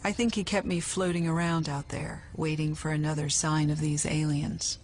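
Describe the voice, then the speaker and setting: A woman's voice: deep voice, little pitch movement, moderate pace, a little mellow, natural voice. An actress playing a grim, resolute female spaceship survivor in an audio drama. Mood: grim, determined.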